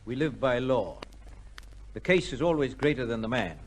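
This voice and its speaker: A man acting a prison governor in vintage radio drama. His voice sits at a middle pitch and is weighty and deliberate. He is courteous and grave, with a troubled, formal way of putting things. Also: lively intonation, slight background noise, close to an everyday voice. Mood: solemn, shaken.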